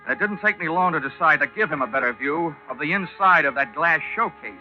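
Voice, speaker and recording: An actor playing a wisecracking, hardboiled male investigator in a 1940s radio drama, with a wry, deadpan, tough manner. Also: a little high, brisk, soft background music, close to an everyday voice. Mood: wry narrating. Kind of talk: 1940s radio detective narration and acting. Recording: dull old 1940s radio recording.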